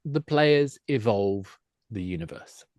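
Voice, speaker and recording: A man's voice: fairly low pitch, lively intonation, slow, darkish tone, no echo. A man speaking as a podcast guest, explaining things in a casual, enthusiastic and discursive way. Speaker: podcast guest, games expert.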